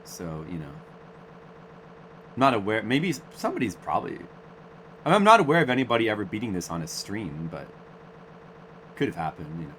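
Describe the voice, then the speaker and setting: A man in his forties with a somewhat low voice streams a game from home and chats loosely with his viewers. He rambles, mocks himself, and keeps talking right at the audience. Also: very animated, moderate pace, pauses often, a bit bright, a little hiss or hum, poor audio. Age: 40s. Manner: rambling, self-mocking, talks straight to viewers. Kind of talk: casual live-stream chat to viewers.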